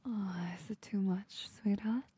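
A young woman whispering softly and soothingly into a close microphone in an intimate ASMR roleplay. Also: mid-range voice, fairly even intonation, measured pace, slightly rough. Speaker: audio roleplay performer.

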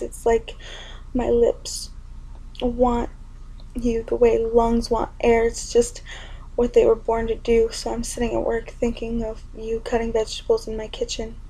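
A young woman performing spoken word poetry in an intimate, often whispered delivery with a gravelly edge. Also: fairly high pitch, mellow, a little hiss or hum, poor audio.

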